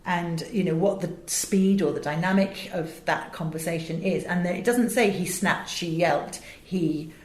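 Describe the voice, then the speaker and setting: A woman's voice: on the low side, unhurried, hardly any pauses. A woman with an English accent, talking in an interview as a director, explaining her craft in an articulate way. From England. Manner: articulate, illustrates points with acted examples. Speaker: voice director and audiobook producer. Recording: roomy, echoey sound.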